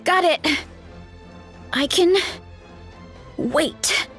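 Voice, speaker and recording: Young woman warrior, high-pitched, slow, sweet, spacey, hesitant, video game dialogue. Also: fairly bright tone, faint music behind, full character voice. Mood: sheepish, distracted. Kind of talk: video game character acting.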